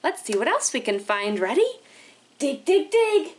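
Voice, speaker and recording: A woman leading an interactive storytime for young children, animated and sing-song, asking questions with exaggerated wonder. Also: high voice, unhurried. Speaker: children's librarian hosting storytime.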